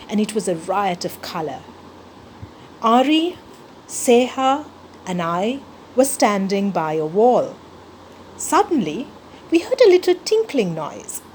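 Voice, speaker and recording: A woman from Sri Lanka, an author reading her own book aloud in an expressive, animated storytelling manner. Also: mid-range voice, slight background noise, rough recording.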